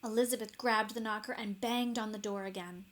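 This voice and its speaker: A woman narrating a children's story expressively, with deliberate dramatic emphasis. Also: mid-range voice, steady tone. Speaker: storybook reader.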